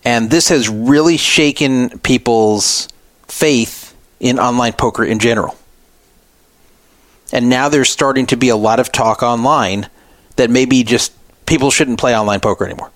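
A man's voice: mid-range voice, measured pace, no echo. A man hosting a talk podcast, giving opinionated, explanatory commentary off the cuff. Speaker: podcast radio host. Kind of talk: unscripted poker news commentary.

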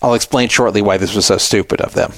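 A man's voice: on the low side, fairly even intonation, fast, no echo. A man hosting a radio show, reading out and explaining things in a conversational, explanatory way. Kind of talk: talk radio commentary, reading out and explaining a statement.

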